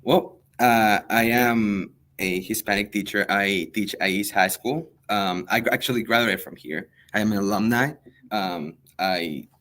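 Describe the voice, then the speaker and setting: Young male teacher from El Salvador, native Spanish speaker, fairly low voice, earnest and halting in an interview. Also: fairly bright tone. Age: young adult. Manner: hesitant, many ums, earnest. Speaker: high school history teacher. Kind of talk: interview answers.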